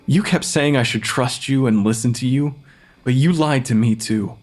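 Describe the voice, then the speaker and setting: An actor playing a weary, bitter forensic pathologist who talks to the dead in an audio drama. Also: male voice, mid-range voice, moderate pace, a little mellow, natural voice. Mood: bitter, accusing.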